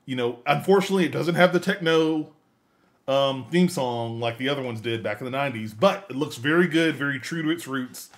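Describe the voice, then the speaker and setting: A man's voice: medium pitch, a little fast. A man hosting a podcast in a casual, conversational way, with an enthusiastic manner. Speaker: podcast host. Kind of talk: casual chat.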